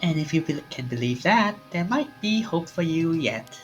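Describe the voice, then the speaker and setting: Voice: mid-range voice, expressive, moderate pace, highs cut off, soft background music. A streamer reading a visual novel's dialogue aloud, swinging their voice up and down between lines.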